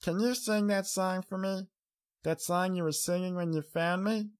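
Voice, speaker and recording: A man's voice: sits high, fairly even intonation, normal speed, voice pushed up, lightly acted. A man voicing a sweet, curious young filly, childlike and tired, in an audiobook. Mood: soft, hopeful. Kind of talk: audiobook character voice.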